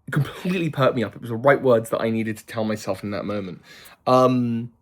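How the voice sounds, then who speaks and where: A man's voice: mid-range voice, brisk. A man in his thirties talking to camera in a thoughtful, rambling way, with many fillers and restarts. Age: 30s. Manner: thoughtful and earnest. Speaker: vlogger. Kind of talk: reflective casual monologue.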